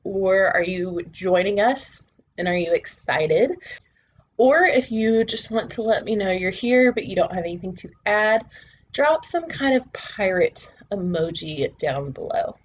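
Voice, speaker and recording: A woman hosting a book channel, talking to viewers in a measured, deliberate, presenter-style way with short pauses between phrases. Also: mid-range voice, animated, lo-fi sound. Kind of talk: presenter-style talk to camera.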